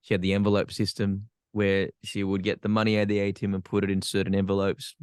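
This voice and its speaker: A man's voice: fairly low pitch, monotone, quick pace, a little mellow, clean tone, no room sound, studio quality. An Australian man, a podcast guest, telling his life story frankly with self-deprecating humor. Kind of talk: candid personal storytelling.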